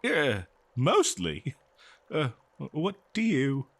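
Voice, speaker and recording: A man's voice: fairly high pitch, very animated, very slow, creaky, exaggerated voice. A man playing an eager, kindly archaeologist in a fantasy audio roleplay, speaking in a hesitant, stammering, scholarly way straight to the listener. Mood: fascinated, excited. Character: eager, kindly male archaeologist befriending a lonely monster. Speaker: audio drama performer. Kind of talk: scripted fantasy audio roleplay, one-sided dialogue to listener.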